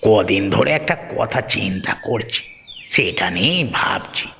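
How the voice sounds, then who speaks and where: A man's voice: fairly low pitch, expressive, measured pace, creaky, bright, forceful tone, a little hiss or hum, heavily acted. A man voicing a deferential, scheming village man in a cartoon, hesitant and insinuating. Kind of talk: cartoon dialogue acting.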